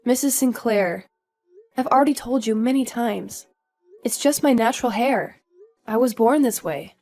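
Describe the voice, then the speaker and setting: A woman's voice: fairly high pitch, moderate pace, dark tone, soft background music. A woman playing an earnest young daughter-in-law who is polite but firm, in a scripted audio story. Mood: indignant. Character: earnest, indignant young daughter-in-law standing her ground.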